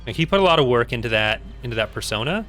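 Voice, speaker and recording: A man's voice: mid-range voice, animated, very fast, a bit bright, faint music behind. A man hosting a gaming video with casual, rambling, wryly humorous playthrough commentary.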